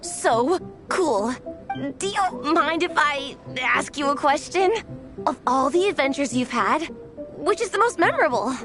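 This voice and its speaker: A wide-eyed young girl from a video game, a sincere comic-book fan. She speaks slowly in a high, youthful voice with an earnest, starstruck temper. Music is clearly audible behind her. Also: expressive. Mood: awed, excited.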